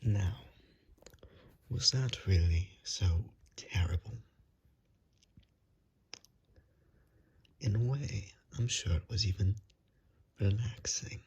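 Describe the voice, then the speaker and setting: A performer voicing a hungry, courteous but menacing vampire in a breathy, coaxing ASMR roleplay whisper. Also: low voice, a little mellow, muffled recording, heavily acted. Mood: satisfied, soothing. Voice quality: breathy, husky whisper with creaky edges. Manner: slow, coaxing, politely threatening.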